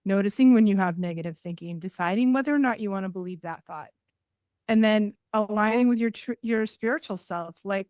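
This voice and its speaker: A mid-pitched woman from New England talks as a podcast guest, laying out practical tips in short phrases. Her voice sounds dry and close to the mic. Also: no room sound. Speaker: podcast guest, energy healer. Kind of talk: casual interview chat.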